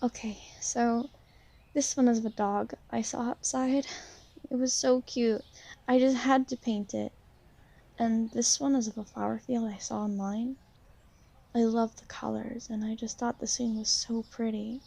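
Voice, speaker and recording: Shy, insecure young female vampire, played by a woman, for ASMR roleplay; mellow, creaky voice, halting and apologetic. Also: fairly high pitch, fairly even intonation. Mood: gentle, fond. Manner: hesitant, halting, soft-spoken, apologetic. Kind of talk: ASMR roleplay, intimate one-sided conversation.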